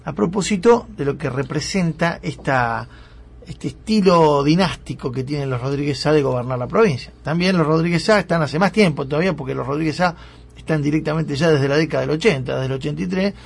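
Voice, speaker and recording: An Argentine man hosts a radio interview, quizzing his guest through a sequence of facts. His voice is raspy and breathy with a rough, gravelly edge. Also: a little high, fairly quick. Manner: probing, recaps facts with guest. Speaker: radio host.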